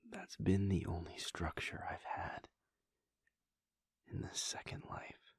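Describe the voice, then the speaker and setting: A man voicing a brooding, conflicted male vampire prince in a whispered audio roleplay monologue, hesitant and breathy. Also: low voice, little pitch movement, takes it slowly, dry recording, full character voice. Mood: wistful. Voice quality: gravelly, breathy. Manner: soft whispering, hesitant restarts.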